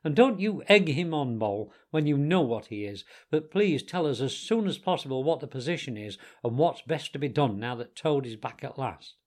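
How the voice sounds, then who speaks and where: A man's voice: medium pitch, expressive, moderate pace, hardly any pauses, darkish tone, close to an everyday voice. A man voicing an earnest, sensible storybook rat with a fussy, insistent manner. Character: earnest, bossy, thoughtful water rat.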